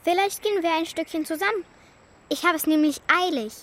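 A woman's voice: very high-pitched, a little fast, a bit bright. A female performer in a children's radio play playing a determined, impatient young girl who speaks in a direct, childlike way. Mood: subdued.

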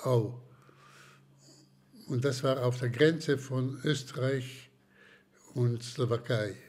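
An older man from Slovakia, giving slow, hesitant testimony in an interview with many pauses and restarts. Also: mid-range voice, darkish tone. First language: German as childhood language, long unused.

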